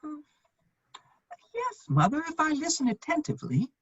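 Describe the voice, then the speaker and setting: A woman playing a witty, idle gentleman bachelor in his thirties, arch and drily polite, in a period comedy reading. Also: sits high, wildly swooping pitch, normal speed, heavily acted. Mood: flippant. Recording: dull, echoey, lo-fi room sound.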